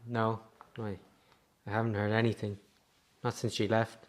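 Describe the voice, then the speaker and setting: A man's voice: lowish voice, level tone, moderate pace, natural voice. Subdued, polite circus hand , a man acting. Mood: downcast, awkward. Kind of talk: radio drama acting.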